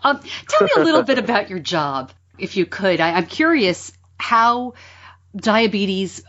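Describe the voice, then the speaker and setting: A woman's voice: medium pitch, sing-song, unhurried, a bit bright, muffled recording. A woman hosting an interview, chatty and curious, and self-deprecating in her questions. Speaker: podcast host, interviewer. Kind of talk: conversational interview.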